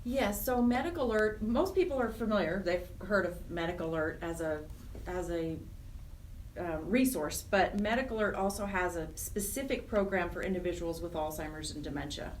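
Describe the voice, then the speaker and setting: A woman's voice: fairly low pitch, moderate pace. A middle-aged woman speaking conversationally as an interview guest, earnest and often searching for her words. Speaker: interview guest, program director of a nonprofit. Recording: roomy, distant mic, faint noise.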